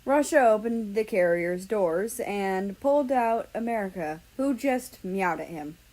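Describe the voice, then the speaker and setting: A woman's voice: medium pitch, lively intonation, measured pace, background noise. A woman narrating a story as a fan fiction reader. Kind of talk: storybook-style narration with acted dialogue.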